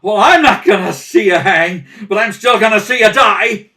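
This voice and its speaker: A man playing a jealous, embittered murderer in a radio mystery, shouting vengefully and desperately. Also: sits high, moderate pace, distant mic, voice pushed up. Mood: bitter, vengeful. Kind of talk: old-time radio mystery acting.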